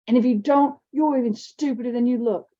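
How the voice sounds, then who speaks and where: A woman's voice: a little high, a little fast, dark tone, lo-fi sound, pitched above the performer's own voice, natural voice. A woman voicing a sneering, taunting teenage schoolboy. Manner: taunting, smug.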